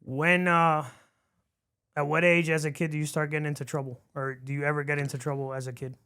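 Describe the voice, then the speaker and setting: Smooth, even-toned male podcast host. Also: a little high, brisk. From grew up in Miami among Cuban families. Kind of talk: casual interview questions.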